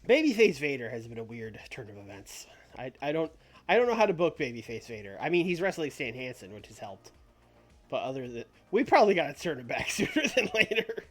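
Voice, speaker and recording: A man casually thinking out loud while streaming a simulation game, rambling, restarting his sentences and laughing at himself. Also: mid-range voice, big pitch swings, fairly quick. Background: faint background music.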